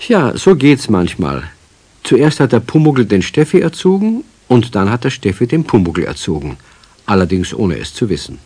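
Casting: male narrator, lowish pitch, husky, scripted children's storytelling. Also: very animated, moderate pace, darkish tone.

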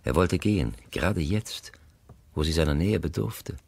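A man narrating a literary radio play, grave, composed and deliberate, on a close studio mic. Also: deep voice, lively intonation, gravelly, a little mellow, slightly rough, dry recording, full character voice. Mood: grave, intimate.

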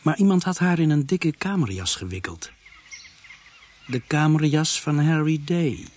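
An actor narrating a mystery radio play as a serious storyteller, in a measured, scripted way. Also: male voice, a little high, expressive, darkish tone. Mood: grave, measured. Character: measured male first-person narrator of a murder mystery. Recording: faintly noisy radio play soundtrack.

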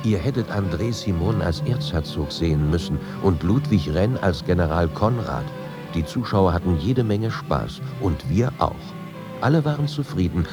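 An actor playing a sober, wry émigré writer, reading in a measured way for a radio feature. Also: male voice, sits low. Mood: wry, amused. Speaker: actor reading quoted memoirs and letters.

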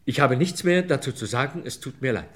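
An actor playing a meek, embarrassed older bookkeeper, defensive and hesitant, in a vintage German radio crime play. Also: male voice, medium pitch, lively intonation, brisk, close to an everyday voice. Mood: insistent, uneasy.

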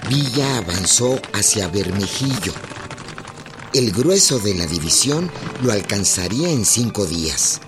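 An actor narrating history gravely in a scripted radio drama. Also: male voice, fairly low pitch, sing-song, unhurried. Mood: grave, measured. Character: grave, measured male storyteller of history. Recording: voice over loud dramatic music.

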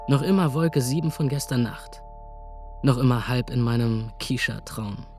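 An actor playing a cocky, laid-back young man who talks in casual slang in a radio play. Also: mid-range voice.

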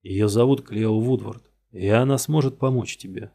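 A man voicing a weary male police detective in a storybook reading, interrogating bluntly in a low, husky voice. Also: moderate pace, dark tone.

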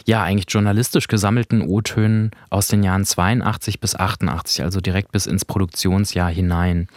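Deep-voiced man discussing radio drama. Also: fairly even intonation, brisk, dry recording, studio quality. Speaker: podcast host.

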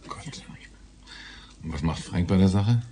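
An actor playing a weary, dryly sardonic homicide detective in a naturalistic radio drama, speaking in a laconic way. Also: male voice, low-pitched, lively intonation, unhurried, dark tone, slight background noise. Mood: surprised, subdued.

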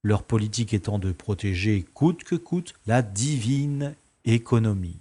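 Slow-talking, jaded space agent played by a man. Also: lowish voice, animated, mellow, husky. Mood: bored, grumbling. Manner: sardonic, world-weary complaining. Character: bored, cynical male space special agent, grumbling. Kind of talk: French science-fiction audio drama acting.

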